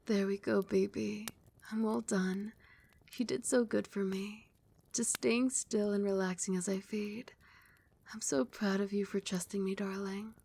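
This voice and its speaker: ASMR casting: a young woman as a tender, possessive vampire queen, soft soothing whisper, close mic. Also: medium pitch, steady tone, measured pace, vocal fry, husky. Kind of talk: ASMR roleplay.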